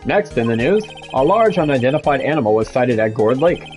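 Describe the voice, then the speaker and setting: A man's voice: mid-range voice, very animated, brisk, a little mellow, soft background music, lightly acted. A man voicing an earnest male television newscaster reading a bulletin in a video game. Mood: brisk, serious. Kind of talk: scripted news bulletin parody.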